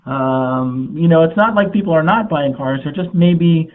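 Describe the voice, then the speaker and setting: A man joining by phone as a guest, talking in a measured, informative way. His voice sits a little high for a man, and the tinny line squeezes it thin. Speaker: automotive journalist guest. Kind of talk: interview answers.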